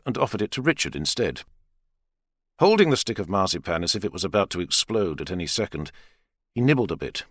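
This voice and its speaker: A man narrating a thriller novel as an expressive, theatrical audiobook reader. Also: fairly low pitch, no echo, studio quality.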